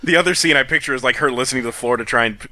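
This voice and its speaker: Guy with a bright lively voice tossing off wry jokes, dry close sound. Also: mid-range voice, racing, no echo. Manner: wry jokey asides. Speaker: podcast co-host. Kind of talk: comedic podcast banter.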